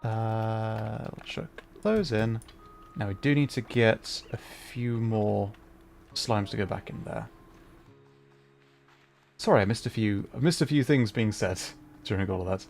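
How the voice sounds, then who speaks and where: A man's voice: on the low side, a little slow, creaky, darkish tone. A man chatting casually on a gaming livestream, thinking aloud in a hesitant, rambling way. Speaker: streamer. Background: game music.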